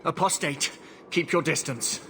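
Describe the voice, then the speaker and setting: Stern, formal knight templar. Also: male voice, on the high side, unhurried, noisy background. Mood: hostile. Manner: formal, stiff, authoritative. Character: stern, dutiful knight templar, suspicious of mages. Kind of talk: fantasy video game voice acting. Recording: clear battle ambience behind voice.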